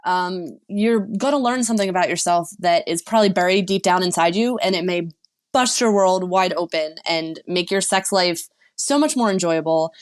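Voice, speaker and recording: A woman's voice: fairly low pitch, normal speed. A woman sex and relationship coach, a podcast guest, giving frank and encouraging advice. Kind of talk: podcast interview.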